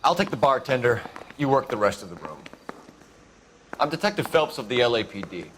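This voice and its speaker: An actor playing a formal, clipped 1940s police detective questioning witnesses in a game cutscene. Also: male voice, mid-range voice, sing-song, fairly quick, fairly bright tone, a little hiss or hum, poor audio. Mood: tense. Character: stiff, earnest male 1940s police detective. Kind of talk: video game cutscene acting.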